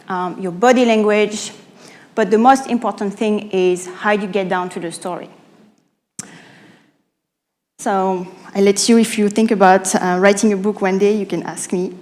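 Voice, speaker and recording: A woman from France with a French accent giving a live talk as an author and coach, explaining through rhetorical questions with pauses and self-corrections. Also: mid-range voice, a little mellow. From Normandy, France. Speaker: speaker, author and pitch coach. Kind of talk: live public talk to an audience.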